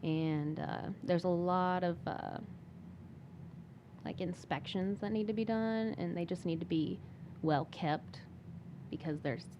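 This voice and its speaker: A woman, a guest on a podcast, talking casually and softly with many fillers and restarts. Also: lowish voice, slow pace, muffled recording, noisy background. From Michigan. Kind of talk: casual interview talk.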